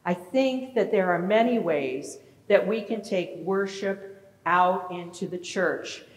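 A middle-aged woman pastor preaching a sermon in a large church sanctuary, animated and questioning her congregation. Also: mid-range voice, slow. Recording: echoey church with long reverb.

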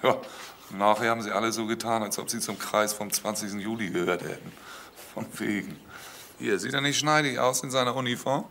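A man's voice: fairly low pitch, fairly quick, creaky, bright, forceful tone, a little hiss or hum. A man playing a gossipy, scornful villager in a crime drama, talking in a wry, colloquial way. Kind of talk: German crime drama acting.

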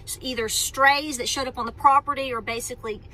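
Bright high-voiced chatty woman in a car. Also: fairly even intonation, a little fast, rough recording. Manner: chatty, rambling, self-interrupting. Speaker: homestead vlogger. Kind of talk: casual talk to camera. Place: inside a parked car. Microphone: phone camera at arm's length.

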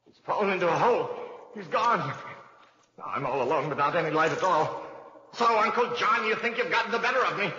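Bossy radio-play villain: highish forceful voice, sharp and frantic, cavernous old recording. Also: male voice, expressive, a little fast. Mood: alarmed, frantic. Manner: sharp, commanding, dramatic. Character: domineering male murderer, impatient, increasingly frantic. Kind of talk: 1940s radio melodrama acting. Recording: dull 1940s radio, cavernous echo.

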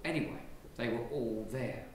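English priest preaching in an echoey church. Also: male voice, medium pitch, normal speed. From England. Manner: lively, conversational preaching with gestures. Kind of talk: sermon. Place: large church, indoors. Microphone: far camera. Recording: very echoey church from far off, faint hiss.

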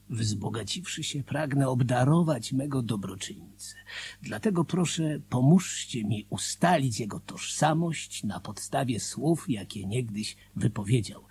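Very husky, solemn reformed gambler. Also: male voice, medium pitch, a little mellow, exaggerated voice. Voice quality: husky, whispery. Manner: deliberate, solemn, confiding. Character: grateful foreign former gambler, solemn letter writer. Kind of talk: Polish radio drama monologue, dramatic reading.

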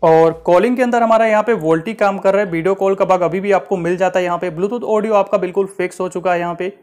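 A man's voice: high voice, fairly even intonation, fast talker, a little mellow. A man giving a casual walkthrough voice-over as a tech reviewer, mixing in English terms and repeating filler phrases. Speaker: tech reviewer, channel host.

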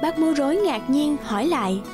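Female narrator, high register, scripted children's fairy tale read over a music bed. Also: expressive, fairly quick.

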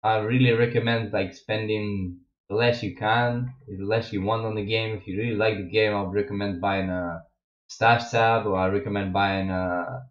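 A man's voice: fairly low pitch, little pitch movement, normal speed. A young Russian-born man with a Russian accent, chatting casually as a streamer in his gaming room, rambling with many fillers and restarts. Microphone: wireless headset. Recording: echoey, dull lo-fi home mic.

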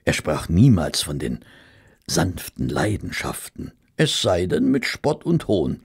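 An actor playing a courteous, reverent gentleman who narrates a detective friend's cases in an audio drama, formal and measured, with dramatic pauses and a gravelly voice. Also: low-pitched, very animated, full character voice. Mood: earnest, confiding.

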